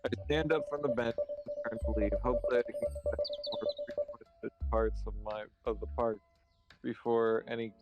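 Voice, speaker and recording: A man with a mid-pitched voice reads story narration from a game aloud, reacting casually as he goes. Music plays clearly behind him. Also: steady tone, normal speed, muffled recording. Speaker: co-player reading the game's narration.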